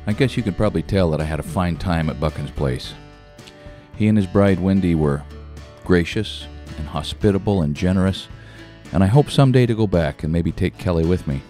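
Older man from Oregon, measured and reflective. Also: low voice, mellow, faint music behind. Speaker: host. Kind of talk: casual chat and voice-over narration. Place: indoor wooden workshop.

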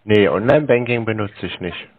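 A man's voice: lowish voice, expressive, fairly quick, no room sound. A man on a phone call, speaking as a pedantic, questioning customer who plays clueless. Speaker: scam-baiter posing as a customer on a call. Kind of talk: phone conversation. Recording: muffled narrow phone-like sound, dry.

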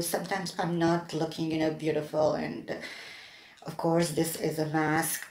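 A woman vlogger chatting casually to the camera in a halting, hesitant way as she gets ready. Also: deep voice, a little mellow. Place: plain-walled room indoors. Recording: echoey room.

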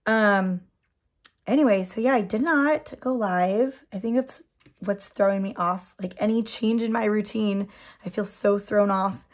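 A woman's voice: mid-range voice, measured pace. A woman chatting casually while doing her nails, her voice a bit worn from losing it, rambling with frequent ums and little laughs. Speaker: nail product seller and channel host. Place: indoors at a tabletop.

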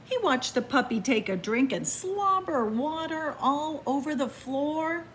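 A woman reads a picture book aloud in a library, her fairly high voice swinging widely between hushed whispers and bright exclamations. She takes her time with each line. A faint hum of room noise sits behind her. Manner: slow, expressive storytelling with hushed whispers and excited rises. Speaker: children's librarian reading aloud. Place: library in front of bookshelves. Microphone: camera facing the book.

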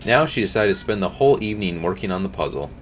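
Deep-voiced young man reading aloud. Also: expressive, fast, slight background noise. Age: young adult. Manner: reads with slips and restarts, drops to quiet asides. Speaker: streamer reading a story. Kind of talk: reading a scary story aloud with comments. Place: home streaming room, indoors.

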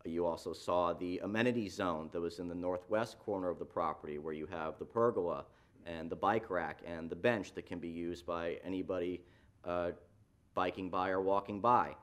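Municipal planner, low voice, measured explanatory delivery, lots of "uh"s and restarts. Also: male voice, steady tone. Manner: explanatory, frequent fillers and restarts. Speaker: city planning staff presenter. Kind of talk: staff report at a public meeting. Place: council chamber.

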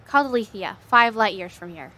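A woman's voice: medium pitch, lively intonation, a little fast, pushed and bright. A woman playing a composed alien fugitive who leads her crew in an audio drama. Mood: composed, urgent.

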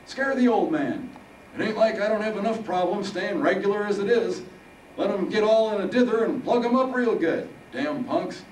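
Feisty old geezer veteran in a story, way high voice, folksy n gruff, echoey room. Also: male voice, expressive, normal speed, darkish tone. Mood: grumbling. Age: older. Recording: roomy, distant mic, faint noise.

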